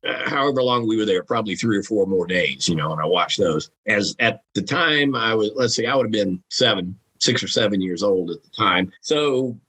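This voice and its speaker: Husky man in his sixties. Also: on the low side, a bit bright, poor audio. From Virginia, United States. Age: 60s. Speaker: podcast guest, author. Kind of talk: casual anecdotal chat. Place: at home, in a room of a house.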